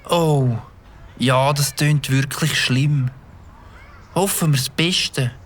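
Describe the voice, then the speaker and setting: A man's voice: mid-range voice, takes it slowly, a bit bright, slight background noise. A man voicing an eager, naive young beaver in a cartoon, with a Swiss German accent and wide-eyed, sing-song questions. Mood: worried.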